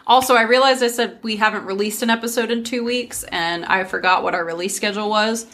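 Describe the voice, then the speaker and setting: A woman's voice: medium pitch, fairly even intonation, a little fast, fairly bright tone. A woman hosting a casual podcast, chatting breezily with friends and leading into a folk tale. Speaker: podcast host. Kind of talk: casual storytelling chat with friends.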